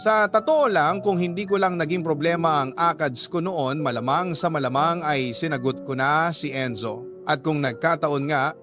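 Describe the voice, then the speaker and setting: A woman's voice: deep voice, moderate pace, natural voice. Young woman played by an actress, Filipino accent, conversational radio drama narration, confiding, prominent music behind. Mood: reflective. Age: young adult. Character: reserved young woman telling her love story. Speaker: narrator of her own love story.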